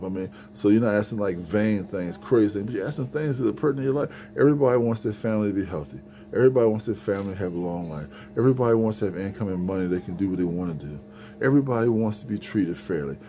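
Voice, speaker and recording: Gravelly middle-aged man preaching casually. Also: fairly low pitch, warm tone. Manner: earnest, repetitive, conversational preaching. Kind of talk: informal sermon. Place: small store room with shelves, indoors. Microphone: phone camera at arm's length.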